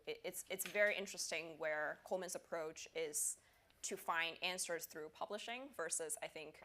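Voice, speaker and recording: A woman's voice: deep voice, fairly bright tone. A woman moderating a conference panel, asking long searching questions full of fillers and hedges. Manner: searching, hedging with many fillers.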